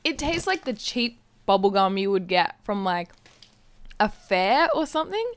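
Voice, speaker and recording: A woman co-hosting a podcast in a chatty, playful, casual way, with animated reactions. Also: medium pitch, moderate pace. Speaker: podcast co-host. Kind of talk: casual podcast chat, live taste test.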